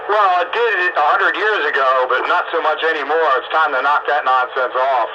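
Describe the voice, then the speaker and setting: A man's voice: a little high, normal speed. A man chatting casually over amateur radio with folksy, sardonic grumbling. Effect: two-way radio transmission. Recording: muffled, noisy ham radio transmission. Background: clear radio static and noise.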